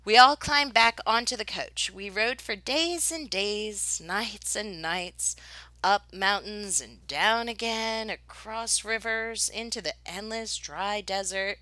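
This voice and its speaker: A woman reading a children's picture book aloud in an expressive, dramatic storytelling style. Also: medium pitch, slow pace, dry recording, low-quality recording. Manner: dramatic, with whispered suspense and excited exclamations.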